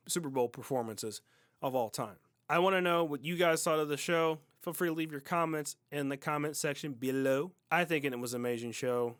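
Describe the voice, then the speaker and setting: A man's voice: medium pitch, fairly bright tone, clear, resonant tone, no echo, studio quality. A man hosting a music podcast, giving a casual, enthusiastic review and repeating words for emphasis.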